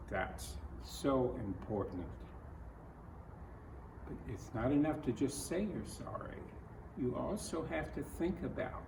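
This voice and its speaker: Slow-paced man reading to children, roomy sound. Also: lowish voice. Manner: deliberate, simple phrasing, asks the listener questions. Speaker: children's picture book reader. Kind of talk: read-aloud to children with discussion questions. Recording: roomy, distant mic, clearly noisy.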